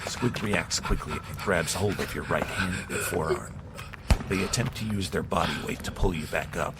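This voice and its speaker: A man with a very deep voice narrates an action story in steady, measured tones. Clear background noise sits under his voice. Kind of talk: scripted second-person narration.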